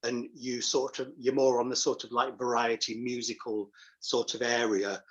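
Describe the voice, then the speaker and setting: Man, casual guest chat, medium pitch, affable, dry humour, slightly dull call sound. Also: flat delivery, normal speed. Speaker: guest, pantomime dame performer.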